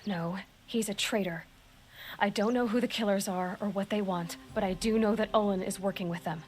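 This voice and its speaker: A woman's voice: mid-range voice, normal speed, darkish tone, a little hiss or hum, lightly acted. An actress playing a determined young woman hunter, speaking tersely in a video game cutscene. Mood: resolute. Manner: terse, resolute, commanding.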